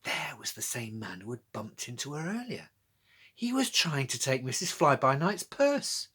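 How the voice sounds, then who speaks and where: A man's voice: medium pitch, big pitch swings, moderate pace, a bit bright, husky. A British man narrating a scripted children's storybook as its author, reading aloud.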